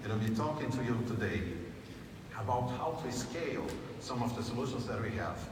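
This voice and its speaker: A man introducing the next speaker on stage at a conference, in a plain, measured way, in a large conference room. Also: medium pitch, lively intonation. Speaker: event presenter introducing a speaker. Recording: echoey hall from far off, noisy.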